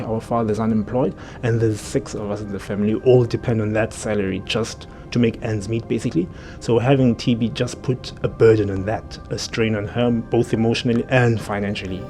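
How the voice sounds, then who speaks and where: A young man from South Africa with a mid-pitched voice tells his story in an earnest, candid way. Faint music sits in the background. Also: a little mellow. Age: young adult. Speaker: medical graduate. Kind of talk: personal narrative interview.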